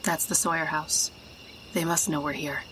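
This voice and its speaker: Guarded, determined young woman played by an actress, low register, terse delivery, horror audio drama. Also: steady tone, moderate pace, a bit bright, slight background noise, rough recording. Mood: guarded, calm.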